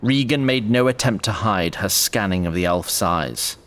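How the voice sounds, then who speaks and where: An actor playing a wry, formal fantasy narrator in a scripted audio drama, with ornate diction and comic asides. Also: male voice, fairly low pitch, animated, normal speed, a bit bright. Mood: dryly narrating.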